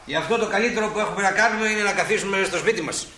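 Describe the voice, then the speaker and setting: An actor playing a kindly, chatty older small-town shopkeeper in a stage drama, bustling along, repeating himself and grumbling good-naturedly. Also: male voice, sits high, bright, forceful tone, reverberant, slight background noise. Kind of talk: stage drama acting for broadcast.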